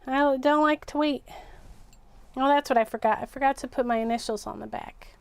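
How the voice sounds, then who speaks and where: High-voiced woman chatting through a craft livestream. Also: a little fast, smooth, no room sound. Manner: chatty, self-narrating, repeats words. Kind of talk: casual live craft chat, thinking aloud.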